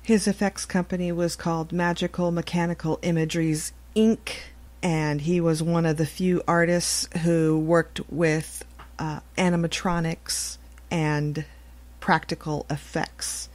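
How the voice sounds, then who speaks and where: A woman hosting a podcast, reading a solemn announcement in a measured, halting way. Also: fairly low pitch, level tone, darkish tone. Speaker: podcast host. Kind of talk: solemn news-style obituary announcement.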